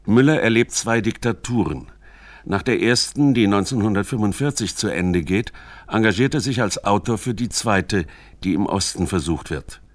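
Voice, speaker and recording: A man's voice: lowish voice, normal speed, no echo. A man reading scripted narration for a radio documentary. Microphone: close studio microphone.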